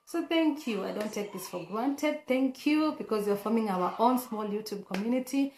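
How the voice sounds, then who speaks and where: A woman with a hoarse voice, talking casually and earnestly to camera as a vlogger, with frequent fillers and restarts. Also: fairly high pitch, expressive, moderate pace, darkish tone, roomy sound, a little hiss or hum.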